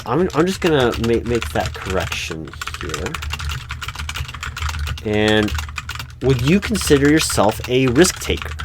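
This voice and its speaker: A man playing a patient but flustered, unobservant recruiting manager in a one-sided ASMR interview roleplay, speaking aloud in a halting, puzzled way. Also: mid-range voice. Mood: puzzled, polite. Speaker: job interviewer. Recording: faint noise, computer keyboard typing clicks. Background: keyboard typing and clicks.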